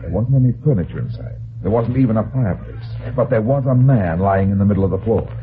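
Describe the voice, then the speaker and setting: An actor playing a tough, terse, sardonic heavyset private detective in an old-time radio drama. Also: male voice, fairly low pitch, fast talker, slight background noise, lightly acted. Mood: grim, watchful. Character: hard-boiled, suspicious heavyset male private detective. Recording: tinny band-limited old radio recording.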